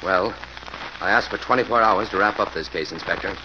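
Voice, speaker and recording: A man's voice: on the low side, fairly quick, close to an everyday voice. An actor playing a determined, serious male crime-fighting hero in a 1940s radio drama. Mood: grim, determined. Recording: muffled, noisy old radio recording.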